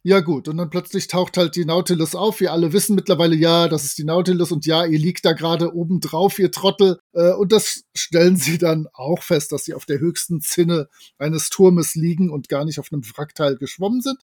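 A man with a notably high voice recaps scenes in a casual podcast manner. The sound is close and dry, with hardly any room in it. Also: steady tone, a little fast, hardly any pauses, darkish tone, dry recording. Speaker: podcast co-host.

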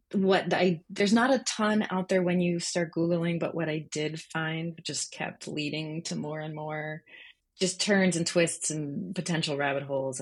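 A woman's voice: deep voice, slightly rough. A woman answering interview questions conversationally as a guest journalist.

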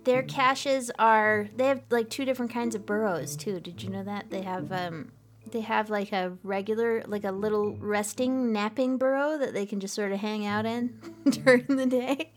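A woman's voice: medium pitch, steady tone, normal speed. A woman co-hosting a podcast, chatting casually and giggling often, with frequent restarts. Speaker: podcast co-host. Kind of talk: casual chat.

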